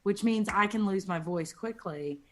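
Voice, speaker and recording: Woman, deep raspy voice, casual guest telling stories about teaching. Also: moderate pace. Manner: chatty, tells anecdotes with quoted asides. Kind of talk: casual chat.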